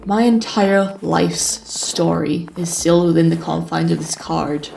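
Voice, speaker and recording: A man playing a weary, sarcastic survivor who narrates life after the apocalypse in a deliberate, sighing, dramatic way. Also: on the high side, creaky, a little mellow, poor audio. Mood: grave, dramatic. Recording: music behind the voice. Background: music.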